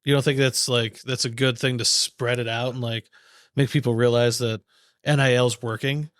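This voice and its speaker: A man's voice: medium pitch, fairly even intonation, moderate pace, a bit bright, no echo. Slangy man chatting sports, dry sound. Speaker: podcast co-host. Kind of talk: casual sports chat.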